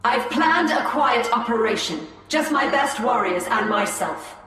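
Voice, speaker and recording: Solemn elf seer, echoing. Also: female voice, a little high, animated, pushed and bright. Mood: resolute. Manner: measured, formal. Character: resolute, solemn female alien elf seer. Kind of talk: video game cutscene acting. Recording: cavernous reverb, distant, over music.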